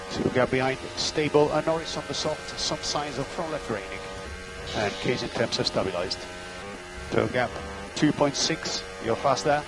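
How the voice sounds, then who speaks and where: A man's voice: mid-range voice, rough recording. Terse male race engineer on team radio. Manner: terse, matter-of-fact, repeats key instructions. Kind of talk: clipped instructions and gap updates over team radio.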